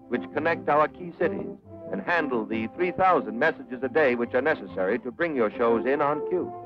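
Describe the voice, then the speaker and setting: An American man narrates a 1940s promotional film in a scripted style. His pitch moves around in a lively way. Music plays clearly behind him on an old, dulled soundtrack. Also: highs cut off. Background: loud background music.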